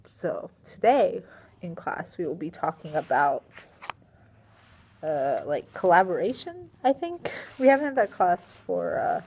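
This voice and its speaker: A woman's voice: on the low side, expressive, measured pace, gravelly, darkish tone, breathy edge. A young woman teacher, tired and a little strained in her voice, rambling casually into a laptop webcam in a small classroom. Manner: rambling, filler-heavy, sighing. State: tired and sleepy. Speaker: middle school science teacher. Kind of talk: casual video diary. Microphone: laptop webcam at desk.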